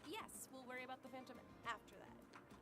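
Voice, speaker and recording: Cheerful young visual-novel teammate: high-pitched, expressive, music underneath. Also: female voice, a little fast. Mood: cheerful, brisk. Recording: game audio with music behind.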